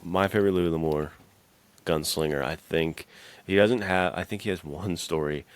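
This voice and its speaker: A man's voice: deep voice, normal speed, no echo. A man co-hosting a podcast, talking casually and informally with plenty of slang. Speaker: podcast co-host.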